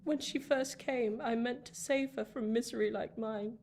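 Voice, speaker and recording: A remorseful, frail old lady played by a woman in a radio drama. Her voice is very high and plaintive, with halting, solemn phrasing, over a noisy recording. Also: darkish tone, close to an everyday voice. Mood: remorseful, wandering. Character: anguished, frail older reclusive lady, remorseful. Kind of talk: radio play acting.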